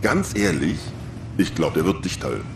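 Low-voiced fatherly older spaceship crewman. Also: big pitch swings, creaky, background noise, exaggerated voice. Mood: wry, fatherly. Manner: groaning, sighing, drawn-out interjections. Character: grumbling, fatherly older male spaceship crewman, wry and weary. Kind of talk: audio drama acting. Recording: roomy, loud music behind.

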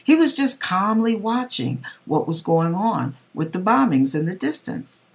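Slow, expressive middle-aged woman. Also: mid-range voice, creaky. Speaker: vlogger reading a viewer's dream and commenting. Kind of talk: expressive read-aloud with religious commentary. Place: home kitchen.

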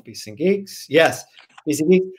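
A man in his forties, a guest author chatting casually on a video call, repeating phrases for emphasis in an animated way. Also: a little high, a little slow, slightly rough. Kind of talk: casual interview chat. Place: small room at home, indoors. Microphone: video call, computer microphone.

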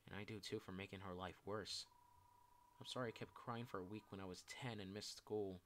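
A man's voice: fairly low pitch, quick pace, a little hiss or hum, lightly acted. A man voicing a shy, self-loathing young game character who speaks in a hesitant, subdued and apologetic way. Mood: downcast, ashamed.

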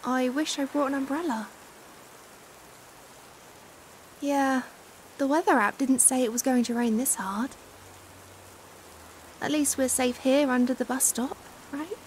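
Woman acting as a high-voiced caring British stranger. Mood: chatty. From United Kingdom. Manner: chatty, expressive, giggly hesitations. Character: caring, fussing young woman, flustered friendly stranger. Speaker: ASMR roleplay voice performer. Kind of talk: acted ASMR roleplay, conversational. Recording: hiss and steady rain sound. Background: rain falling.

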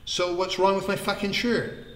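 A man voicing an exuberant, warm-hearted young man in an audiobook, excitable and slangy. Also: medium pitch, normal speed, echoey room, big-room sound, close to an everyday voice, poor audio. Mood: boisterous teasing.

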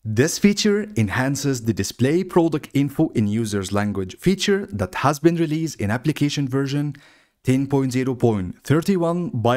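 Man narrating a software walkthrough. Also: medium pitch. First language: Arabic. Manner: explanatory, steady step-by-step narration. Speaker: software tutorial presenter. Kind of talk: screen-recorded software walkthrough.

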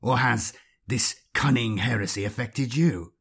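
A man's voice: mid-range voice, unhurried, gravelly, a bit bright, husky, exaggerated voice. A man doing a ruthless suspicious inquisitor. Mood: accusing.

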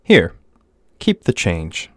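An actor voices a rich male customer for a visual novel, curt and aloof. The voice is very deep with marked inflection, terse and cool, recorded dry. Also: huge pitch swings, takes it slowly, no room sound, heavily acted.

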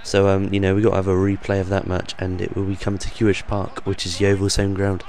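Croaky young man rambling over gameplay. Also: deep voice, completely flat delivery, fast, a little mellow. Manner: rambling, hesitant, many ums and uhs. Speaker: gaming channel host. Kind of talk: off-the-cuff voice-over commentary.

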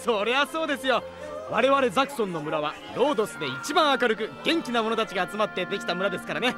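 An upbeat, cheeky village fellow in a fantasy audio drama. He talks fast and high with breezy confidence, over clear music. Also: big pitch swings. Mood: proud, jovial. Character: cheeky, upbeat village man, proud of home. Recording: music behind the voice.

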